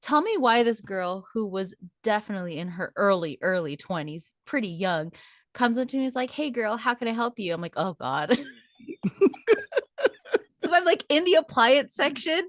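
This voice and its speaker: A woman's voice: fairly high pitch, big pitch swings, normal speed, a bit bright, no room sound, studio quality. A woman in her late twenties co-hosting a casual podcast, telling stories with lots of laughter and filler words. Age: 20s. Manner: chatty storyteller, laughs often, many "like" fillers. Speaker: podcast co-host.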